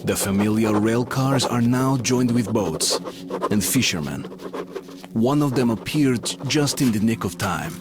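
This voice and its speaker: A man's voice: mid-range voice, unhurried, music in the background, lightly acted. A man playing a grave, duty-bound soldier who narrates his journey in a video game. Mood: grave, reflective. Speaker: protagonist narrator.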